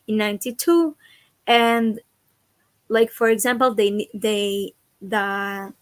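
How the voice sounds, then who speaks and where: A woman's voice: medium pitch, a bit bright, no room sound. A young woman from Israel, an activist and educator, speaking earnestly as an interview guest and searching for her words. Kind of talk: conversational interview.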